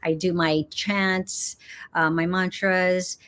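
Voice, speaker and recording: A middle-aged woman from Los Angeles, a yoga teacher speaking as an interview guest in an unhurried way, laughing often and pausing with frequent "um"s. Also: on the low side, level tone, fairly bright tone, clean tone. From Los Angeles, United States. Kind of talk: casual interview chat.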